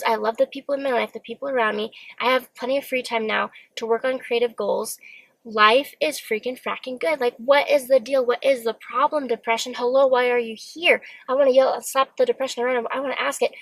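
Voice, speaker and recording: A young woman speaks openly and earnestly from inside her car, now and then catching on a stammer. Her voice sits fairly high with a gravelly, fry-edged texture, close and dry with no room sound. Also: no room sound, poor audio. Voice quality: occasional stammer. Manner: open, earnest, self-reflective. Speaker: vlogger. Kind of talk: candid solo talk to camera. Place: parked car.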